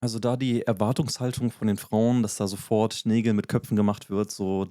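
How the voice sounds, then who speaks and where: A man with a low, mellow voice talks through a topic at an easy conversational pace, hedging with frequent fillers and restarts. The recording is dry and close, with no room sound behind him. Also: level tone, fairly quick, no echo. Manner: explanatory, many fillers and restarts. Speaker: podcast co-host. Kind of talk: casual podcast chat.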